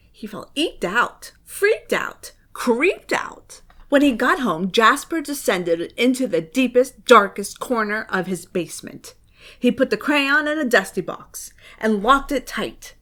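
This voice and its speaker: A woman reading a spooky children's story aloud, expressive and suspenseful, with dramatic hushed moments. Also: high-pitched. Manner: expressive, suspenseful, often dropping to a dramatic whisper. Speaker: children's book reader.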